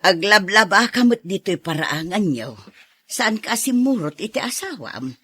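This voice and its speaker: A woman's voice: medium pitch, sing-song, a little slow, a bit bright, breathy edge, exaggerated voice. A woman acting an inquisitive, motherly older mother with an Ilocano accent in an amateur audio drama. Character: older Ilocano mother, inquisitive, concerned about her married daughter.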